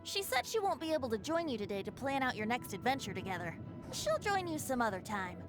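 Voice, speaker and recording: Playful teasing bard, high and swoopy, game music behind. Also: male voice, very animated, brisk. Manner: theatrical, teasing. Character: cheerful playful young male bard.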